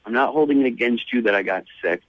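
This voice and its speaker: A man's voice: mid-range voice, fast talker, dry recording. A man speaking as a reluctant, defensive caller on a radio show, insistent and aggrieved, over the phone. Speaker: radio call-in guest. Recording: dull band-limited phone call on radio.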